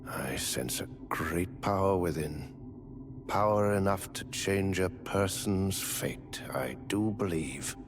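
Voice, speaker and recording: An actor playing a courteous, theatrical gentleman adventurer in a dark fantasy video game, speaking in formal, flowery, archaic phrases. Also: sits low, slow pace, over music. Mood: grateful, solemn. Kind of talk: video game character dialogue.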